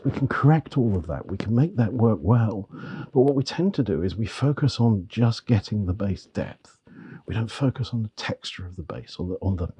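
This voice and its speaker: A middle-aged man, hoarse from a heavy cold, talks to camera as a magazine editor reviewing audio gear, rambling and restarting his phrases. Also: dark tone. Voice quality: gravelly, bass-heavy voice. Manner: rambling, with frequent restarts and repeated words. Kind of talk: product review talk to camera.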